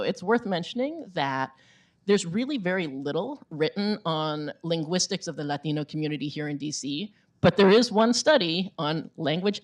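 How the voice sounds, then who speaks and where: A woman author giving a conversational book talk in an informal way, often checking in with "right?". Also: sits low. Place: bookstore event before an audience.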